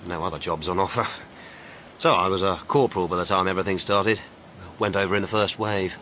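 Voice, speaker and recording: An actor playing a candid ex-army student of about thirty in a radio drama, British, with a measured, polite, dry manner. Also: male voice, sits low, fairly even intonation, bright, forceful tone, slight background noise. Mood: guarded. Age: around 30. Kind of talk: radio detective drama acting.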